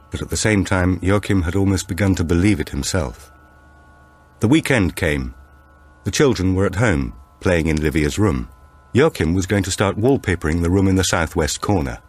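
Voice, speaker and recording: Deep-voiced man narrating a thriller. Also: breathy edge. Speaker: audiobook narrator. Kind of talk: scripted dramatic narration of a thriller.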